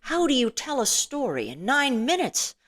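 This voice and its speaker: A middle-aged woman with a booming, stage-trained voice, chatting casually as an interview guest with lots of "you know"s and restarts. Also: huge pitch swings, normal speed, a bit bright. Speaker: filmmaker and actress guest.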